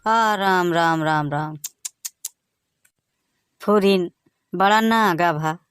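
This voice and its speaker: A man with a Bagheli accent voicing a boastful village son-in-law in a comic cartoon, teasing in lively banter. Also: high-pitched, very slow, noisy background. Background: music.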